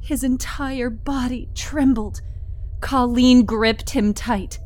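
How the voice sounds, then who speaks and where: A woman narrating dramatic horror fiction with deliberate, suspenseful phrasing. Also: on the high side, steady tone, darkish tone.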